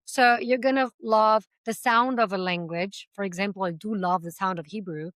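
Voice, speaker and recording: A woman's voice: medium pitch, fairly even intonation, moderate pace, a bit bright, no echo. A woman who founded a language school, answering interview questions in a passionate, talkative way and drawing on everyday examples. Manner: speaks several languages.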